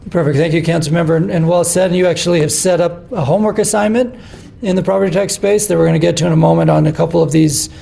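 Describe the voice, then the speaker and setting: A man moderating a city policy committee meeting, brisk and organized, often repeating words as he goes. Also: a little high, creaky, mellow.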